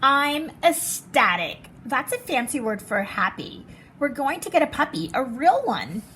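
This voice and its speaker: A woman reading as an excitable, fancy little girl from a storybook, dramatic and showy with her favorite words. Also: sits high, unhurried, a little hiss or hum, heavily acted. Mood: excited, proud.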